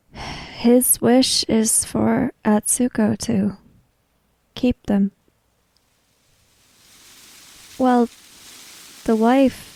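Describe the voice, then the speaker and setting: A woman roleplaying an earnest, questioning character in a samurai-era tabletop game, speaking in measured, formal sentences without contractions. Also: medium pitch, gravelly, mellow, dry recording, low-quality recording. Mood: sympathetic.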